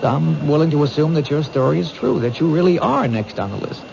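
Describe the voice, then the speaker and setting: A man's voice: a little high, expressive, a little fast, gravelly, a crowd in the background. A man acting in a radio mystery as an earnest police detective, questioning in a persuasive, probing way. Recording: dull old radio recording, noisy background.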